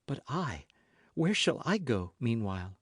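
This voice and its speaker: A man reading an audiobook as an anxious, guarded young gentlewoman who speaks in a formal, fretful, pleading way. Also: mid-range voice, very animated, measured pace, slightly rough, dry recording, clean studio sound, heavily acted. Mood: worried.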